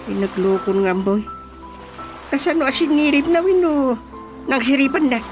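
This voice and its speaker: A man acting an excitable, exasperated village chairman in an Ilocano radio drama comedy, exclaiming and scolding theatrically with an Ilocano accent. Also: very high-pitched, moderate pace, over music. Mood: shocked, indignant.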